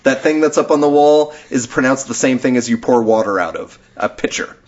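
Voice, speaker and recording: A man's voice: medium pitch, a little fast. A man hosting a podcast in casual chat, explaining things in a talkative way with frequent chuckles. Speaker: podcast host. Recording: dull lo-fi podcast recording.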